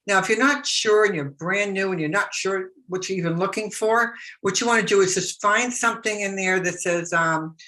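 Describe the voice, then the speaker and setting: A middle-aged woman walks a viewer through a screen-share tutorial in a relaxed, chatty way, circling back and restarting her sentences. Her voice is bright and clear, though she is losing her voice a little. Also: mid-range voice, moderate pace, few pauses, poor audio. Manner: chatty, repeats herself, many fillers and restarts. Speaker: business blogger teaching online marketing.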